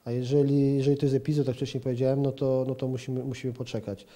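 A man's voice: medium pitch, little pitch movement, quick pace, warm tone. A man in his forties answering questions as a football pundit in a TV interview, hesitant with many fillers and restarts, recorded indoors on a handheld reporter's mic. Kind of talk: TV sports interview. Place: indoor office room with plant. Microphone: handheld reporter mic held toward him.

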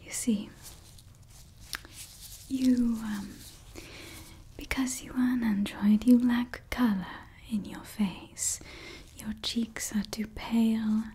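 A woman whispering slowly as a doting female scientist who builds an android, murmuring to herself and coaxing it with praise. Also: fairly high pitch, steady tone, mellow, full character voice. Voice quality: whispering, breathy. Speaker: ASMR roleplay creator. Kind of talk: ASMR roleplay.

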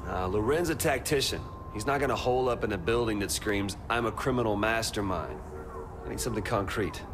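An actor playing a tough, impatient gang boss in a video game, giving blunt orders. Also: male voice, on the low side, brisk, noisy background, close to an everyday voice. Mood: determined.

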